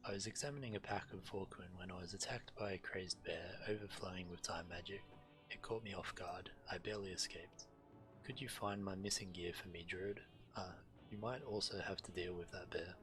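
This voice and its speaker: Low-voiced man reading game quests aloud. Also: steady tone, normal speed. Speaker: game streamer. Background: game music.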